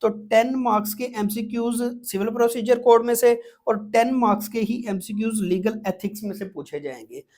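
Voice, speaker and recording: A man's voice: high-pitched, fairly quick, hardly any pauses, darkish tone. Pakistani man teaching step by step. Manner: repetitive, checks in often with tag words. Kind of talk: exam-prep lecture, explaining step by step.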